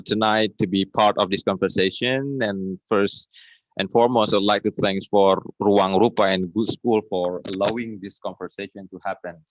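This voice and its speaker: A man with an Indonesian accent giving semi-formal opening remarks as moderator, measured, hesitant and wordy. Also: low-pitched. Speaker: guest host and moderator of a conversation. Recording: slightly dull lo-fi online call.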